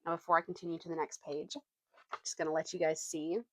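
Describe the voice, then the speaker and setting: A woman reading a children's adventure book aloud as an expressive storyteller. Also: low-pitched, fairly quick, dry recording.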